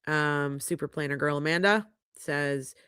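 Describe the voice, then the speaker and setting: A woman's voice: deep voice, a little slow, fairly bright tone, clear, resonant tone, no echo. Congested middle-aged woman hosting a stream, frank chatty talk, dry close mic. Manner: chatty, frank, reads viewer comments aloud. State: congested, sniffling. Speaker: podcast host reading live chat. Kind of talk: casual livestream chat. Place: home podcast studio. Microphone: studio microphone.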